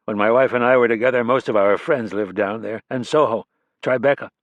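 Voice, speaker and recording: Man voicing a probing, sharp criminalist. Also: mid-range voice, brisk, no echo, dull audio, lightly acted. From Illinois. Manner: probing, deductive questions. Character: sharp, curious middle-aged male criminalist. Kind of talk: audiobook dialogue.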